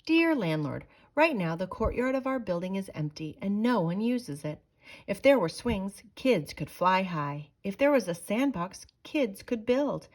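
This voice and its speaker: Woman voicing an eager, expressive little girl. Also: mid-range voice, unhurried. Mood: earnest, pleading. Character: eager, determined little girls with a playground idea.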